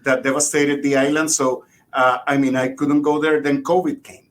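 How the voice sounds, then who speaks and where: A man's voice: little pitch movement, moderate pace. A middle-aged man with a Puerto Rican accent chatting casually as an interview guest, with hesitant repeats. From Puerto Rico. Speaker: guest, biology professor. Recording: rumbly background noise.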